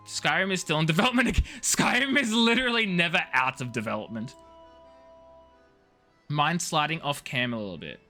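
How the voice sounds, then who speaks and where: A man's voice: on the high side, pushed and bright. A man chatting casually to viewers as a video game streamer, playful and teasing, rambling from one thought to the next.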